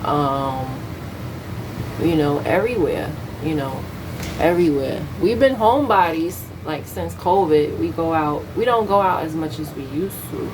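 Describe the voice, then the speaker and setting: A woman's voice: sits low, measured pace, noisy background. An American woman in her thirties chatting casually as a vlogger, candid, slangy and opinionated. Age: 30s. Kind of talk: casual chat to camera.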